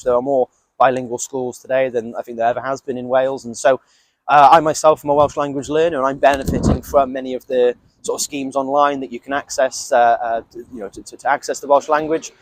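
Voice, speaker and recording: A man's voice: medium pitch, a little mellow, poor audio. A man giving an off-the-cuff interview answer as an election candidate.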